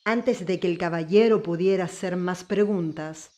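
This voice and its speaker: A woman's voice: fairly low pitch, moderate pace, a little mellow. A woman reading scripted story narration as an audiobook narrator.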